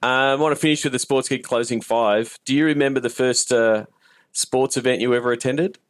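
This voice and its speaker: A man's voice: mid-range voice, steady tone, brisk, no echo. Man interviewing, close dry sound. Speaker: podcast host, interviewer. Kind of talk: casual podcast interview.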